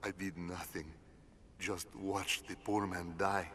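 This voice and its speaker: Low-voiced, guilt-ridden fantasy villager man. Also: steady tone, slow, a bit bright, slightly rough, full character voice. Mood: guilty, grieving. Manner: solemn, archaic phrasing. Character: grieving, guilt-ridden male villager ashamed of his cowardice. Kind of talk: fantasy video game voice acting.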